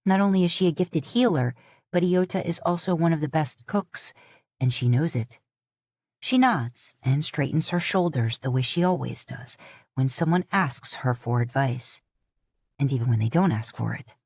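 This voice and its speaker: A woman's voice: deep voice, moderate pace, darkish tone, husky, no room sound. A woman reading a scripted story aloud in the third person, as an audiobook narrator. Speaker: fan fiction audiobook narrator.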